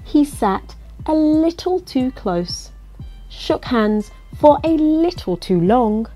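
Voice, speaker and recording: Slow, lively woman reading a children's storybook. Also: high voice, soft background music, rough recording. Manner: expressive, coaxing, asks the listener questions. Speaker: storybook reader. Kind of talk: children's picture-book read-aloud.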